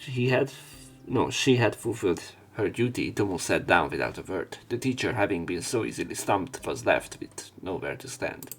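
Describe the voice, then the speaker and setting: A man with a fairly low voice reads a visual novel aloud for a let's play. He drops in informal, wry and sometimes sweary asides. Faint music plays behind him. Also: lively intonation, normal speed, poor audio. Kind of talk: reading visual novel narration aloud with casual commentary.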